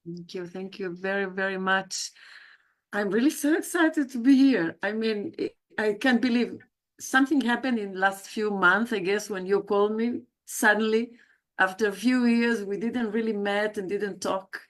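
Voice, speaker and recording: A woman's voice: medium pitch, moderate pace. A woman, introducing herself on a video call in a chatty, personal way, with frequent hesitations and self-corrections. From South America. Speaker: guest speaker, leadership coach. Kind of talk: livestreamed self-introduction talk.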